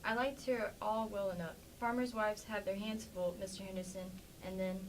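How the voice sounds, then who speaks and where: A woman's voice: medium pitch, fairly even intonation, normal speed, close to an everyday voice. A woman playing a plainspoken, defensive farmer's wife in an amateur staged play reading. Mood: guarded, defensive. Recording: distant mic with faint background noise.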